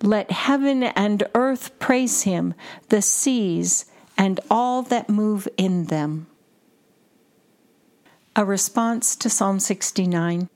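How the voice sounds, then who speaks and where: A woman guiding contemplative prayer, reading in short, measured and deliberate phrases. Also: mid-range voice, a little mellow. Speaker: prayer guide and podcast host. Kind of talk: slow, repetitive guided contemplative prayer reading. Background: music under the voice.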